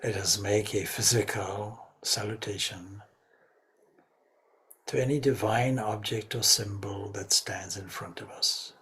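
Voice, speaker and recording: A man leading a guided meditation as a spiritual teacher, speaking reverently in short, measured phrases. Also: fairly low pitch, fairly even intonation, bright, forceful tone.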